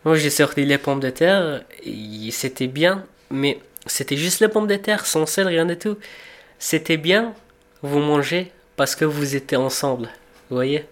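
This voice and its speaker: A man in his twenties from Afghanistan, a cook, telling his life story in a reflective, unhurried way, pausing and restarting as he searches for words. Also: fairly high pitch. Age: 20s. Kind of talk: personal storytelling for a documentary portrait.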